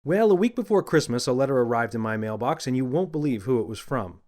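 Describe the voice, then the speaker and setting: A man narrating a scripted children's story in the first person, with an expressive, confiding storyteller manner. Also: mid-range voice, fast talker. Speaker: audiobook narrator and author.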